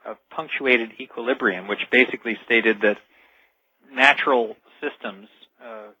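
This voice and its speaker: A man explaining things as a radio interview guest over the telephone, earnest and deliberate, stressing key words. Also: mid-range voice, fairly even intonation, husky, no echo. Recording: dull, band-limited telephone line.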